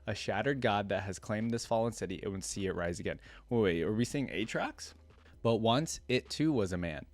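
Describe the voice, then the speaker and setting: A man's voice: on the low side, animated, normal speed. A man hosting a reaction video, reading a story aloud and adding casual, musing asides.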